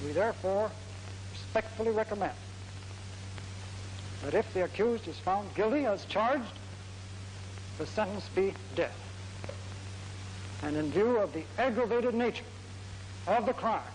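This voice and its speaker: A man speaks as a prosecutor in a formal courtroom address, delivering measured, deliberate phrases on an old 1940s archive recording. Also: high-pitched, a little hiss or hum, low-quality recording. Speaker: military prosecutor.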